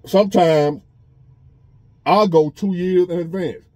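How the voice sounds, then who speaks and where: Man, 50s, earnest and repetitive, mellow dark voice, dry in-truck sound. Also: high voice, slow, no room sound. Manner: earnest, repetitive, conversational preaching. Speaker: vlogger. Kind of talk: casual motivational monologue. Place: parked truck.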